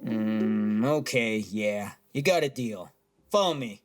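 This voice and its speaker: A man's voice: lowish voice, animated, slow, soft background music. A man playing a brash, nerdy card-collecting hustler with a mind-reading trade, haggling in a businesslike way in an audio drama. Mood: brisk, businesslike.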